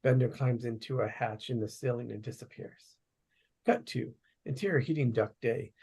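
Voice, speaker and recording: A man's voice: medium pitch, normal speed, a little mellow, breathy edge. A man reading out stage directions as plain narration at a script table read.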